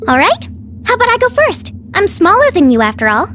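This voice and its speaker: An actress playing a refined, daring young princess in a video game, with a genteel, teasing manner. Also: female voice, extremely high pitch, wildly swooping pitch, fairly quick, a bit bright, faint music behind, full character voice. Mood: coaxing, cheerful.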